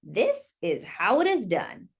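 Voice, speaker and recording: A woman's voice: medium pitch, huge pitch swings, slow, gravelly, husky, exaggerated voice. A woman reading the part of a curt, unyielding male school official in a storybook.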